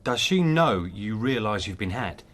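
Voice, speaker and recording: An actor playing a dutiful but needling detective sergeant in a radio drama. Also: male voice, fairly low pitch, lively intonation, a little slow, fairly bright tone. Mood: dry. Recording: radio drama with clear background noise.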